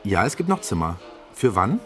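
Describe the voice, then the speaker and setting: Slightly low male receptionist over guitar music. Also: big pitch swings, moderate pace. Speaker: hotel receptionist and announcer. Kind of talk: promotional announcement and phone booking talk.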